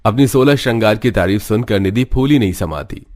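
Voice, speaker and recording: A man's voice: fairly low pitch, fairly quick, a little mellow, faint music behind. A man reading scripted narration for a cartoon story.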